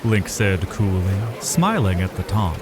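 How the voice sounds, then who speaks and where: A man's voice: sits low, sing-song, unhurried, music in the background. A man giving dramatic scripted narration of a fantasy adventure. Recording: noisy ambience behind the voice.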